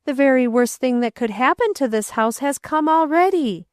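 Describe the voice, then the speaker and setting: A woman's voice: high voice, animated, normal speed, no echo, studio quality, pitched above the performer's own voice. A woman voicing an earnest young boy in a storybook reading, speaking eagerly and pleadingly in a raised voice. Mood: alarmed. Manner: eager, pleading, rising to outbursts. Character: earnest boy, grieving and indignant.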